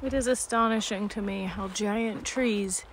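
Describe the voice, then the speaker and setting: A woman in her thirties chats to her phone camera on an outdoor hiking trail, self-deprecating and wry. The camera is held at arm's length in the open air. A steady hiss of outdoor noise sits under her voice the whole time. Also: mid-range voice, measured pace. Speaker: travel vlogger. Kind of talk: casual hiking vlog.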